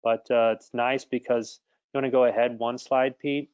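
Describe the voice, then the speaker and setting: A man with a smooth, clear voice explains audio gear as a guest expert. His intonation stays flat and even. The call audio is a little dull. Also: medium pitch, normal speed, no echo. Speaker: guest product expert from an audio equipment maker. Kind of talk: explanatory tech talk. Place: online video call.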